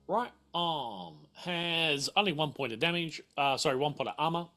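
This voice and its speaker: A man refereeing a casual tabletop game, halting and often repeating himself. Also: a little high, animated, sharp tone, soft background music. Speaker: game master.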